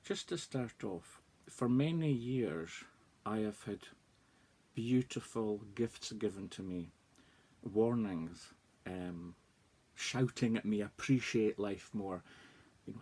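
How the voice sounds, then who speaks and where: Earnest husky Scottish man. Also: fairly low pitch, dark tone. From northeast Scotland. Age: 40s. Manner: earnest, candid, reflective. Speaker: life coach. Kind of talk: personal vlog monologue.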